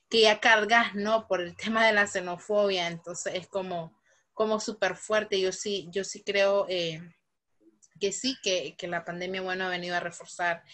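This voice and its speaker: Young adult woman, Nicaraguan accent, medium pitch, emphatic, conversational panel testimony. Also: fairly bright tone. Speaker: webinar panelist. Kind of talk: personal testimony in an online discussion.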